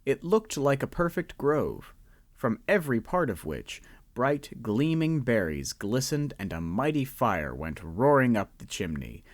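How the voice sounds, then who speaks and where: A man narrating a student radio drama, savoring each phrase and slowing down on lists. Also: mid-range voice, sing-song, no room sound, studio quality. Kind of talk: scripted story narration.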